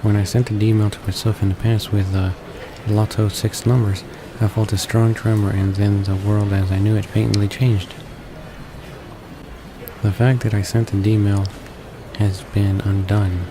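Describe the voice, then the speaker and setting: A man playing a visual novel and reading its text aloud in a halting way, stumbling and repeating words. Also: on the low side, flat delivery, background noise. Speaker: let's player. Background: game background music.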